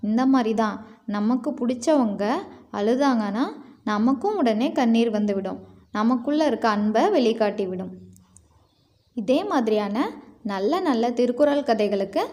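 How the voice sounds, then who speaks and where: Higher-pitched woman telling children's stories. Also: moderate pace, pauses often, mellow. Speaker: storyteller. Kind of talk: scripted children's story narration.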